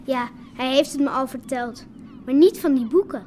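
A woman's voice: high voice, normal speed, darkish tone, a little hiss or hum, lightly acted. An actress playing a proud, hopeful young village girl in a radio drama, direct and spirited in manner. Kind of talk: Dutch radio drama acting.

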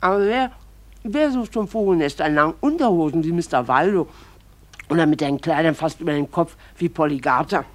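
An actress playing a gossipy small-town wife in a literary radio drama, chattering on with indignant disapproval. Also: female voice, sits low, animated, fairly quick.

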